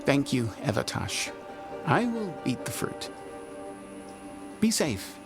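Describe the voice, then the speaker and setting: An actor playing a gentle Qunari man, speaking in a measured, formal way. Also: medium pitch, very animated, vocal fry, full character voice. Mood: calm. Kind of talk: video game cutscene dialogue. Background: music.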